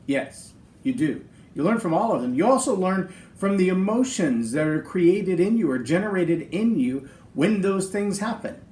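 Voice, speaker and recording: A man's voice: high-pitched, expressive, moderate pace, a little mellow, reverberant. A middle-aged man with a big voice hosting a podcast, giving an earnest, emphatic motivational talk on leadership. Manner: earnest, emphatic, speaks in lists. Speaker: podcast host.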